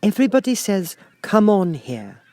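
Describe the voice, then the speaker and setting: A man's voice: high-pitched, very animated, moderate pace, dark tone, no echo, pitched above the performer's own voice. A man voicing a polite, puzzled young girl in a storybook, with a proper, questioning manner. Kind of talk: storybook character voice.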